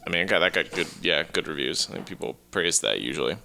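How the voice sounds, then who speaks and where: A man's voice: deep voice, lively intonation, quick pace, vocal fry, pushed and bright. A man co-hosting a podcast, explaining his views on films casually with hedged, loose phrasing. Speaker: podcast co-host. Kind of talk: casual film discussion.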